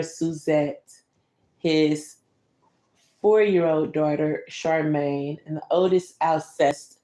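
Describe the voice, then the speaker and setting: Woman, slightly low voice, bedtime read-aloud, chatty asides to a child, roomy echo. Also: mellow. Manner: conversational, explains pictures and comments to a young listener. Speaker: storyteller reading a picture book to a child.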